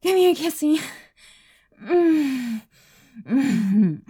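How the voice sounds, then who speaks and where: A woman playing a very high-voiced, breathless bratty streamer. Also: big pitch swings, drawn-out words, mellow, exaggerated voice. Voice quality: breathy, moaning, tearful. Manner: panting and moaning between short phrases. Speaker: adult audio roleplay performer. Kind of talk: explicit audio roleplay.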